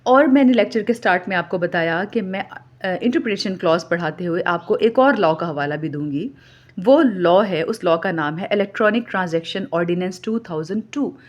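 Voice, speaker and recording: A woman's voice: on the low side, expressive, normal speed, highs cut off. A woman with a Pakistani accent lecturing students methodically, repeating key points and mixing in English terms. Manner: mixes English terms and read-out clauses into explanation. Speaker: law lecturer. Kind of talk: instructional lecture for exam students.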